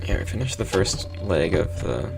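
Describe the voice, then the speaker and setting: Calm, soft-spoken male game developer with a low, gravelly voice. Rambling stream commentary, lots of ums. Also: normal speed, loud music behind the voice, rough recording. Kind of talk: casual live-stream commentary.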